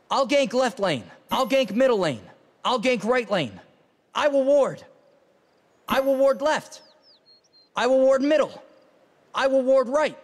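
A man's voice: very high voice, big-room sound, full character voice. A man voicing a cocky, playful young graffiti-artist fighter in a video game, brash and teasing with slangy short callouts.

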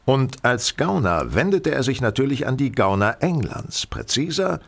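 A man acting in an audio drama as a shrewd, self-assured gentleman detective who speaks formally and precisely, courteous but insistent. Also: mid-range voice, very animated, moderate pace, creaky. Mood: confident, explaining.

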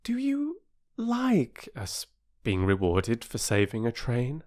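A narrator voicing an earnest, gentle older girl with grown-up airs, in a children's storybook. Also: mid-range voice, animated, normal speed, pitched above the performer's own voice, natural voice. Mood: doubtful.

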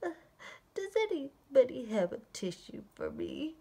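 A tiny storybook flea with a sniffly cold speaks up meekly, voiced by a woman. It pleads in a small, congested, slow way. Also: high-pitched, huge pitch swings, warm tone, slight background noise, heavily acted.

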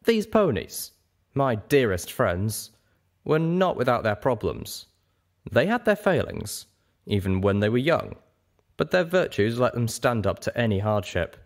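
Man voicing a wistful huge dragon, animated intonation. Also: medium pitch, a little slow, pitched above the performer's own voice. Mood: reflective. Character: huge old male dragon, nostalgic, earnest, wistful.

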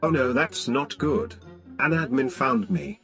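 A man playing a whiny, scheming hacker villain in an animated gaming roleplay, theatrical and given to internet slang. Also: medium pitch, measured pace, faint music behind. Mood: worried. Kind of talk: animated Minecraft kids roleplay acting.

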